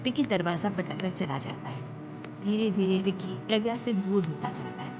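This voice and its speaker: A woman's voice: medium pitch, animated, normal speed. A woman narrating a scripted story as a storyteller. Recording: dull audio under loud music.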